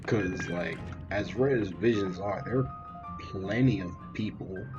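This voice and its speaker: A man with a deep voice comments casually on a video game as he plays. The sound is somewhat dull, and loud game music runs behind his speech. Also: a little slow. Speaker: gaming video creator.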